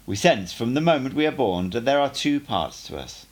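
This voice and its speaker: A man's voice: medium pitch, expressive, moderate pace, pitched above the performer's own voice, close to an everyday voice. A man voicing a playful old sorcerer who teaches with patient, lecturing explanations. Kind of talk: audiobook character dialogue.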